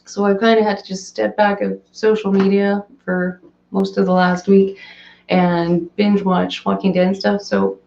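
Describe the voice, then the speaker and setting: Woman, hoarse live stream host, chatty and off the cuff, echoey dull home recording. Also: medium pitch, flat delivery, measured pace, darkish tone, a little hiss or hum. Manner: chatty, rambling, reads and answers viewer comments. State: hoarse, voice cracking. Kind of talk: casual live stream chat.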